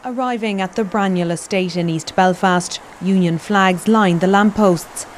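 A woman's voice: mid-range voice, unhurried, a little hiss or hum. Woman narrating a news report. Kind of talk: scripted news package narration.

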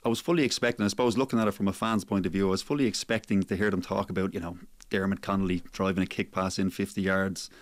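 A man's voice: deep voice, fairly even intonation, fast talker, no echo. A man, a sports journalist and author, answering interview questions thoughtfully with plenty of hedging fillers. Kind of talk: radio interview guest.

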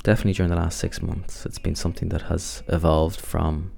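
A man from Dublin with an Irish accent, narrating a personal documentary in a measured, reflective way. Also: low voice, flat delivery, vocal fry, a little mellow. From Dublin, Ireland.

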